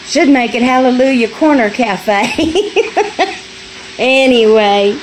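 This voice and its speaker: A woman's voice: high-pitched, lively intonation, takes it slowly, slight background noise, low-quality recording. A middle-aged woman, chatting folksily as she films on a phone in a small home kitchen. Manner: folksy, chatty, laughs easily. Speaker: homestead vlogger. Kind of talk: casual chat. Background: food frying and sizzling.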